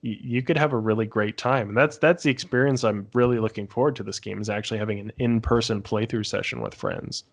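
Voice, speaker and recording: A man's voice: on the low side, fast talker, vocal fry, hardly any pauses. A man talking in a casual podcast discussion.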